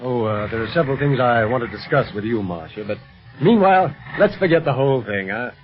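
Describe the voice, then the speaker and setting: An actor playing a calm, kindly, authoritative secret agent leading his team in a 1930s radio adventure serial. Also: mid-range voice, fairly quick, natural voice. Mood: genial, assured. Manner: reassuring, genial, authoritative. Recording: muffled old radio recording with music.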